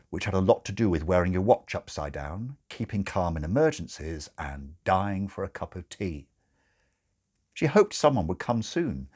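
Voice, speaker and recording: A male narrator with a fairly low, husky voice reads a comic novel. His delivery is wry and theatrical, with lively swings in pitch.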